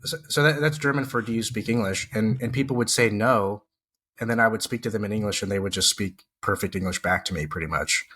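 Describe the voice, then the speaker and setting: A man's voice: on the low side, a little fast, bright, forceful tone, slightly rough. A man co-hosting a podcast, chatty, laughing easily and stumbling over repeated words. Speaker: podcast co-host. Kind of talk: casual podcast chat.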